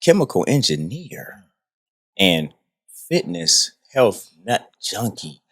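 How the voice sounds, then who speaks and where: A man hosting a podcast, introducing a guest slowly and joking loosely with his co-hosts. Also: mid-range voice, sing-song, creaky, fairly bright tone, breathy edge. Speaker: podcast host. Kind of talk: casual podcast chat.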